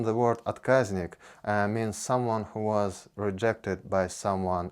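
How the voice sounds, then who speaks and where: A man's voice: fairly low pitch, darkish tone. A man with a Russian accent, a native Russian speaker, giving an informal explanation in slightly hesitant English as the host of an educational video.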